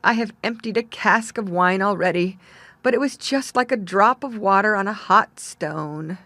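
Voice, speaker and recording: A woman's voice: mid-range voice, normal speed, bright, forceful tone, dry recording. A woman voicing a miserable, thirsty man who complains sorrowfully in a storybook tale. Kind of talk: storybook character voice.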